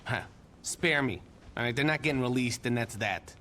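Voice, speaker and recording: Man playing an irritated, gruff police chief. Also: mid-range voice, normal speed, sharp tone, a little hiss or hum, lightly acted. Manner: curt, exasperated. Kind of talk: video game cutscene acting.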